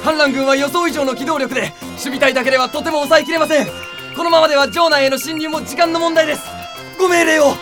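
A man's voice: very high voice, fairly even intonation, fast. An actor playing an alarmed soldier giving a clipped, urgent report to his general in a radio drama. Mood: panicked urgency. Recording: music behind the voice.

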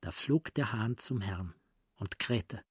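A man narrating a radio play as a literary storyteller, in a deliberate, dramatic manner. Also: fairly low pitch, animated, breathy edge, no room sound, studio quality.

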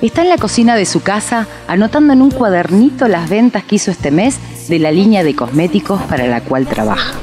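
A woman's voice: mid-range voice, expressive, fairly quick, hardly any pauses. A woman narrating a scripted radio drama as a storyteller. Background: music.

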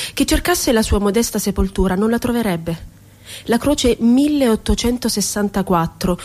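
An actress performs a woman poet, wounded and ironic, looking back on confinement. She speaks in a theatrical radio-play monologue at a middle pitch. Her delivery is deliberate and poetic, lingering on words and posing rhetorical questions. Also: a little mellow, lightly acted.

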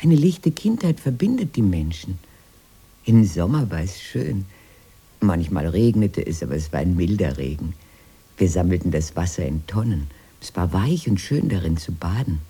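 An old, sentimental sister in a radio drama. The voice is very husky and mellow, rising and falling freely. She rambles dreamily and wistfully through her memories. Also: rumbling low, very animated, moderate pace, full character voice. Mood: dreamy, wistful. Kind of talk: radio play dialogue.

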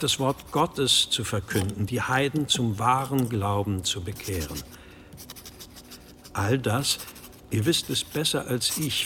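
An actor playing a pious abbot with formal, archaic, solemn speech in a radio drama. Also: male voice, mid-range voice, fairly bright tone, slight background noise. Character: pious, pleading abbot of a mountain monastery, impatient with his brothers.

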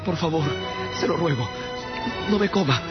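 A man's voice: fairly high pitch, animated, moderate pace, voice pushed up, lightly acted. A man playing a desperate, overwrought shipwrecked voyager in a radio drama, frantically repeating his cries. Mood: terrified pleading. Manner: frantic, repetitive exclamations. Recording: muffled, loud music behind.

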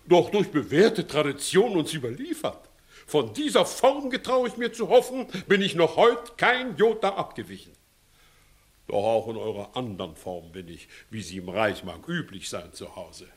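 An actor playing a sly, blustering village judge in a classical German comedy radio play, wheedling and evasive. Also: male voice, a little high. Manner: blustering, wheedling, swinging between muttering and shouting.

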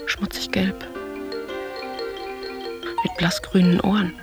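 An actress playing an introspective, haunted woman who speaks in hushed, breathy fragments, as an inward monologue in a literary radio play. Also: mid-range voice, little pitch movement, slow pace, over music, close to an everyday voice. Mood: dreamy, uneasy. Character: introspective woman recalling childhood and love, haunted, searching.

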